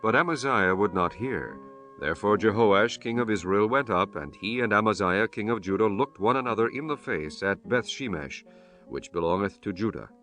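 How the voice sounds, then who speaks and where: A man reading scripture as an audiobook narrator in a solemn, measured, formal manner. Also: fairly low pitch, lively intonation, pushed and bright, soft background music.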